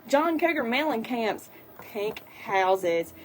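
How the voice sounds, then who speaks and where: A woman hosts a live radio show in a slightly high voice, chatting in a friendly, rambling way with lots of ums. Faint background noise runs under her in the studio. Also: sing-song, slow. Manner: friendly, rambling, filler-heavy. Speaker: radio host.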